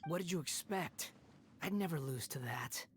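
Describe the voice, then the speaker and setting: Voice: mid-range voice, lively intonation, normal speed, slightly rough. An actor voicing an arrogant, contemptuous young wanderer with wind powers in a theatrical fantasy game style. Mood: disdainful. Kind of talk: anime fantasy game voice acting.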